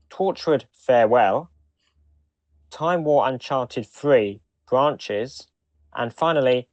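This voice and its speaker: A man's voice: medium pitch, dry recording. A man co-hosting a podcast, talking casually as he shares news and thoughts. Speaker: podcast co-host. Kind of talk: casual chat, reading out release news.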